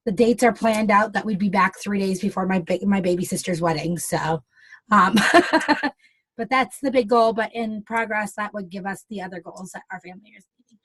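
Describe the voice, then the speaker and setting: A woman on a team video call, talking hesitantly with lots of pauses and fillers. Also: mid-range voice, fairly bright tone, husky. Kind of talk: casual introduction.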